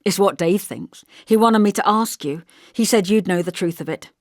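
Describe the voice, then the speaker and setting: A woman's voice: mid-range voice, moderate pace, no room sound, studio quality, pitched above the performer's own voice, lightly acted. A woman voicing a blunt, bossy young working-class man in an audiobook, brusque and insistent, with colloquial turns of phrase. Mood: urgent. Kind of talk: audiobook character dialogue.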